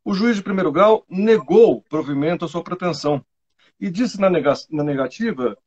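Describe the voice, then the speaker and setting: A man's voice: fairly high pitch, lively intonation, moderate pace, darkish tone, husky, lo-fi sound. A Brazilian man, a lawyer guest on a radio show, explaining legal points conversationally with anecdotes and tag questions.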